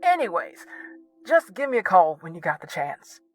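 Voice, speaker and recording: Shy, sweet young guy a man acting for audio drama voicemail; high, casual, hesitant delivery over faint music. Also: big pitch swings, moderate pace.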